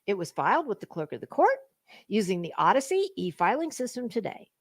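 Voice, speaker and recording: A woman's voice: mid-range voice, big pitch swings, brisk. A woman hosting a gossip stream, giving a dramatic reading with sarcastic, mocking commentary in a theatrical, sassy way. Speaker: host of a gossip commentary livestream.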